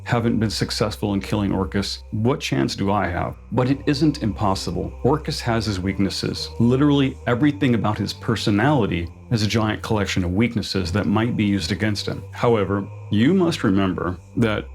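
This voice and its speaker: A man's voice: lowish voice, moderate pace, creaky, few pauses, a little hiss or hum. A man hosting a channel, reading a scripted explainer as narration. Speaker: host of a tabletop RPG lore channel.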